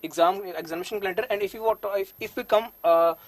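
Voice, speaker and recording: A man's voice: high voice, rapid-fire, no echo. A man teaching maths in an online exam-prep webinar, earnestly assuring students, with many fillers.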